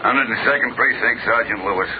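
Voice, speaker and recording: A man acting a gruff, blunt police desk sergeant in a 1940s-style radio mystery, brisk in manner. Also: medium pitch, natural voice. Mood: gruff, grim. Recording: tinny, noisy old-time radio recording.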